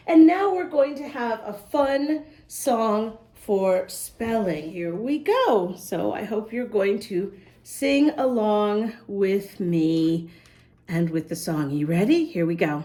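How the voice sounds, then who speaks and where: A woman's voice: a little high, animated, takes it slowly, warm tone. A woman reading a picture book aloud to young children, with asides to the little listeners. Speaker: children's storybook reader and host.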